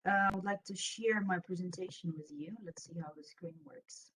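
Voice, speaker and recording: A woman's voice: lowish voice, normal speed, a bit bright, a little hiss or hum, low-quality recording. A young woman from Russia, a native Russian speaker with a Russian accent, giving an informal career presentation on an online panel. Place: online video meeting with screen sharing.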